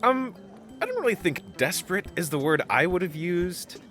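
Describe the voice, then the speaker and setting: An actor playing a nervous, self-doubting young space-biker captain in an audio drama, hesitant and dryly sarcastic. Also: male voice, high-pitched, very animated, normal speed, fairly bright tone, faint music behind. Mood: awkward.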